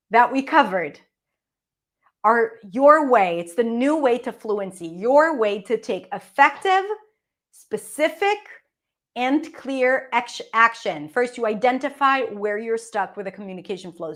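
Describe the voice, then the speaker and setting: Woman with Israeli accent, pronunciation coach, bright higher voice, emphatic and energetic. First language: Hebrew. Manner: energetic, emphatic, demonstrates sounds. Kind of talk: teaching webinar.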